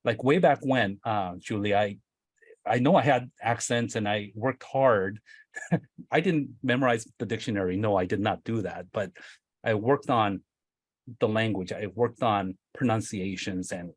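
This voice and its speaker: A man's voice: on the low side, a little slow, slightly rough, no echo. A middle-aged man, a company executive, speaking reflectively on a video call, often hedging and asking "right?". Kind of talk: casual panel discussion.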